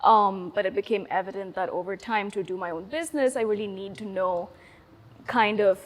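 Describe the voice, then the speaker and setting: A woman's voice: medium pitch, fairly quick, big-room sound. A woman in her twenties from Sri Lanka, chatting casually as an interview guest, with many fillers.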